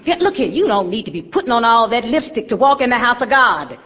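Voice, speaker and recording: A woman's voice: on the high side, big pitch swings, fast talker, slightly rough, big-room sound, muffled recording. An actress playing a stern, churchgoing mother who scolds in folksy, emphatic speech. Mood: disapproving. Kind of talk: stage drama acting.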